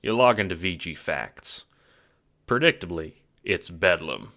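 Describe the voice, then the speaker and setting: A man's voice: deep voice, very animated, a little slow, bright, forceful tone, slight background noise. A man giving wry, profane second-person narration for an audio drama.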